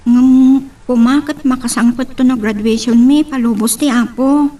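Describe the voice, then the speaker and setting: A woman's voice: high voice, steady tone, moderate pace, mellow, distant mic. A woman with an Ilocano accent playing an earnest, dutiful student daughter in an amateur audio drama.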